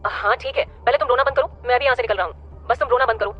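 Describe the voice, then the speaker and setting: Brief: commanding young man, read by a woman, reassuring but firm, husky. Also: fairly high pitch, rapid-fire, dull audio, faint music behind, voice pushed up, lightly acted.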